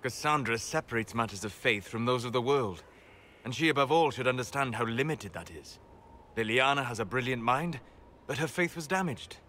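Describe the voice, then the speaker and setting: A scholarly elven mage for a fantasy game. He is calm and reserved, choosing formal words with careful pauses in a medium-pitched voice. Ambient noise is audible behind him. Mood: grave, critical. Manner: measured, deliberate, formal phrasing with pauses. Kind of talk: video game dialogue acting.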